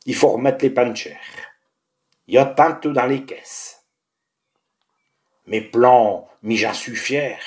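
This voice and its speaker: A man's voice: mid-range voice, a little mellow. A man with a Picard accent from the Tournai area, reciting a monologue in dialect. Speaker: monologue performer.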